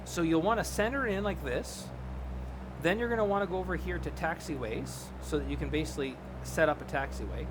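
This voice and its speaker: A man's voice: a little high, a little fast, background noise. A man giving a casual, informal live stream tutorial, explaining things step by step to his viewers. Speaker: live streamer, flight simulator host.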